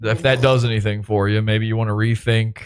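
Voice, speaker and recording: A man's voice: lowish voice, little pitch movement, a little fast, slight background noise. A man hosting a podcast interview, sarcastic and mocking as he reads out comments and messages. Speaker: podcast host.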